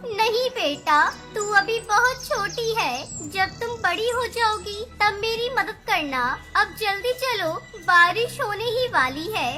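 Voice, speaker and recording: A woman voicing a caring, anxious mother bird in an animated children's story. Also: very high voice, slow pace, full character voice. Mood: caring, hurried. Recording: music behind the voice.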